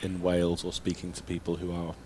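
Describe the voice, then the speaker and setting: An English man doing snooker co-commentary in a low-key, measured way. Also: completely flat delivery, background noise. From England.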